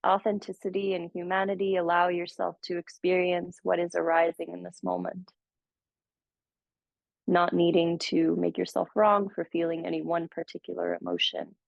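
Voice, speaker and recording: A woman guiding a meditation, speaking slowly and gently with soothing pauses. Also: on the low side, creaky, no room sound. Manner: slow, gentle, soothing guidance with long pauses. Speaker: meditation teacher. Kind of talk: guided meditation. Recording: dull, muffled audio.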